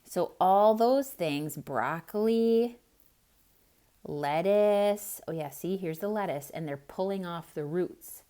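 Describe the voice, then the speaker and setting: A woman reading a picture book aloud to children, pausing to point things out and ask them questions. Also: on the low side, smooth. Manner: slow, expressive, asks children questions. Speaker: children's storyteller.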